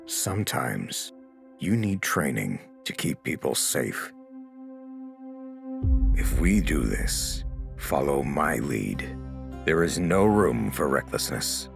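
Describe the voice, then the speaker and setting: A protective, stern superhero mentor from a motion comic. The voice is extremely deep and gravelly, with grave, measured phrasing that swings with authority over clear music. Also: male voice, heavily acted.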